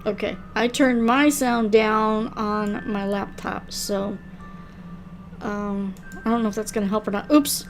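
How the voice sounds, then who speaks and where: A woman's voice: mid-range voice, fairly even intonation, measured pace, soft background music. An older woman hosting a gaming video, casually reading a visual novel aloud like a storybook. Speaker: gaming video host and reader.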